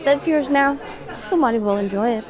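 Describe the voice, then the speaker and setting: A woman playing an upbeat, bossy young woman who leads her friends in an audio drama, with a brisk, teasing manner. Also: high-pitched, crowd noise, close to an everyday voice. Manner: brisk, teasing, take-charge. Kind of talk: animatic audio drama acting.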